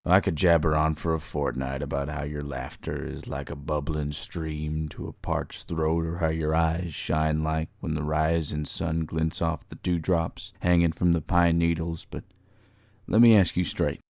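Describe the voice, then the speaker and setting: A man's voice: rumbling low, level tone, a little slow, gravelly, darkish tone, dry recording, full character voice. A man playing an earnest, humble cowboy suitor, folksy and courteous, in an audio drama.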